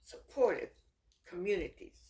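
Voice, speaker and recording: Earnest American woman, halting speech. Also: lowish voice, very animated, warm tone. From United States. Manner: earnest, exhorting, halting with repeated words. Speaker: vlogger addressing viewers. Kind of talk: unscripted opinion talk to camera.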